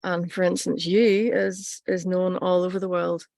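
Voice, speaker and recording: A woman teaches in an informal, reflective manner, pausing between short phrases. Her voice sits on the low side and stays fairly even in melody, with a noticeable creak at the edges. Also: no room sound, clean studio sound. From Ireland. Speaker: workshop teacher and plant practitioner.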